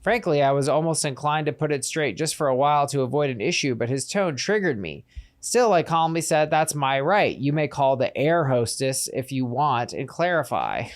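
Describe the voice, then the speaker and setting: A man's voice: fairly high pitch, lively intonation, normal speed. Playful male podcast host. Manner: playful, joking, laughs easily. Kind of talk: casual call-in podcast chat and reading a post aloud.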